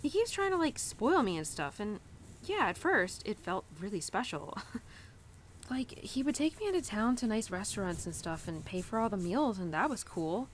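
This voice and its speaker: A woman's voice: medium pitch, very animated, moderate pace, a little hiss or hum, close to an everyday voice. A young adult woman playing a stubbornly independent, conflicted cowgirl in an audio roleplay, confiding in her horse in a chatty, hesitant, informal way. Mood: frustrated, hurt. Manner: chatty, hesitant, informal, peppered with "like" and "you know". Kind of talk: audio roleplay monologue, intimate and conversational.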